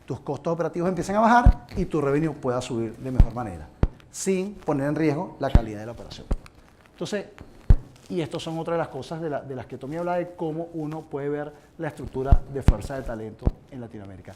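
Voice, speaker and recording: A man's voice: a little high, lively intonation, fast. A man from Venezuela presenting a seminar talk to a live audience, speaking earnestly and addressing them directly with advice. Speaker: conference presenter. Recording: noisy room recording.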